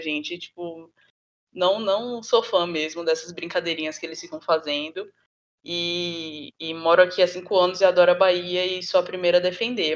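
A woman with a São Paulo accent and a strong R, speaking in a lively, casual conversation. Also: on the low side. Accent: São Paulo Portuguese.

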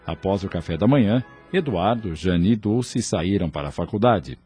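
A man narrating a scripted radio drama in a measured, formal manner. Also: deep voice, lively intonation, dark tone, breathy edge, dry recording, faint music behind.

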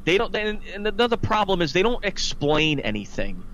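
Exasperated man ranting, fairly high-pitched, noisy background. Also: very animated, a little fast, a bit bright, highs cut off. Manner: exasperated, opinionated. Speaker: podcast host. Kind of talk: ranting film recap on a casual podcast. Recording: clear background noise.

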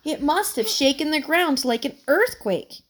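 A woman's voice: high-pitched, animated, a little slow, pitched above the performer's own voice. Woman voicing an amazed young girl.

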